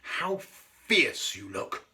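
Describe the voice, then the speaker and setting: A man voicing a polite, admiring hermit crab in a children's storybook, earnest and gentle, speaking slowly and courteously. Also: mid-range voice, sing-song, heavily acted. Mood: admiring, hopeful.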